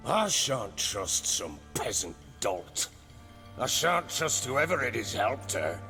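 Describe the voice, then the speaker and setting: An actor playing a gruff, grieving, volatile middle-aged baron in a dramatic video game, brooding and blunt. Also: male voice, medium pitch, sing-song, takes it slowly, sharp tone, faint music behind, full character voice. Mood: agitated, demanding. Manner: brooding, blunt, agitated when pressed.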